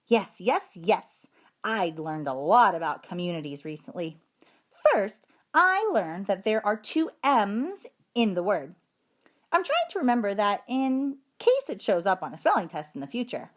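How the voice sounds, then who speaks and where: A woman reading a children's story aloud in an expressive, emphatic storytelling manner. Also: mid-range voice, moderate pace. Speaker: storybook reader, teacher.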